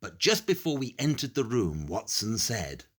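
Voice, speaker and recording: An actor playing a brilliant, grave Victorian detective in an audio play, explaining in a measured, articulate, deliberate way. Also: male voice, mid-range voice, very animated. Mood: intense, revealing.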